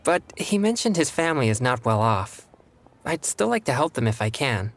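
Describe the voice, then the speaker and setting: An actor playing a polite, earnest young exorcist in a video game, with formal, measured phrasing. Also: male voice, mid-range voice, sing-song. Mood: earnest, grateful. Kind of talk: video game character dialogue.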